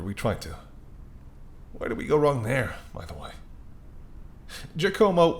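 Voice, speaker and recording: Wry, courteous mob boss, acted by a man, over rumbling noise. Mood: exasperated, sardonic. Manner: conversational, sardonic, exasperated, softening into polite charm. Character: apologetic, wry, courteous male mafia boss. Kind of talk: audio roleplay spoken to the listener.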